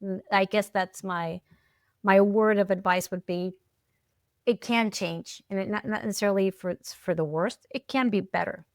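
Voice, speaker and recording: A middle-aged woman talks in a casual, reflective interview style. She repeats words and restarts her phrases, often adding small check-in tags. Her voice sits at a medium pitch and is recorded close and dry. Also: steady tone, dry recording. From Peru, moved there at ten. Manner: halting, repeats words, often checks in with "right?" and "you know". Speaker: podcast guest, alcohol-free coach.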